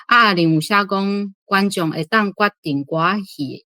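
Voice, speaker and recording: A woman's voice: medium pitch, measured pace, sharp tone, dry recording. A woman with a Taiwanese accent, a reading club host giving informal, reflective commentary.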